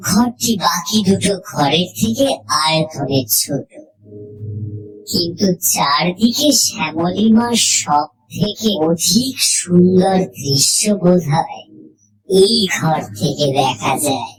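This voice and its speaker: A woman reading a scripted first-person horror story, in measured, deliberate phrases with pauses. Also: lowish voice, expressive, low-quality recording. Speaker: audio story narrator.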